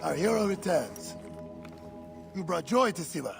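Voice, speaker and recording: A man's voice: high voice, animated, measured pace, creaky, loud music behind the voice, exaggerated voice. An actor playing a jovial older man who teases and welcomes his friend. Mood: friendly. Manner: friendly, teasing, hearty. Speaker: village elder and friend.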